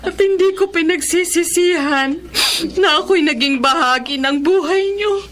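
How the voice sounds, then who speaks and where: A woman's voice: very high voice, unhurried, fairly bright tone. An actress with a Filipino accent playing a kind, sentimental, motherly teacher in a radio drama. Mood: tearfully grateful. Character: kind, sentimental retired special-education teacher, motherly, grieving daughter.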